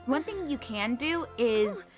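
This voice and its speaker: Slow-paced, higher-voiced woman livestreamer. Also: animated. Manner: gushing, thankful, girlish slang. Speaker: video game livestreamer. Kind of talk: casual chat with stream viewers. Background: game music.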